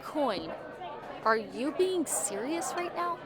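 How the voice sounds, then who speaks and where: Woman playing a high-voiced skeptical adventurer. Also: very animated, slow, a crowd in the background, full character voice. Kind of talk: audio drama acting.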